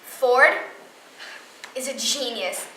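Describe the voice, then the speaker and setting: Girlish performer as hurt, high-voiced devoted girlfriend. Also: extremely slow, sharp tone, exaggerated voice. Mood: hurt, earnest. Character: hurt woman earnestly excusing her genius filmmaker boyfriend. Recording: echoing room, distant mic, clear noise.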